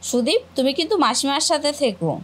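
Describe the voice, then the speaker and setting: A woman's voice: high-pitched, animated, fast talker. Woman acting a polite, modest sister-in-law. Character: dutiful, modest young sister-in-law, homemaker. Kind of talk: cartoon family drama acting.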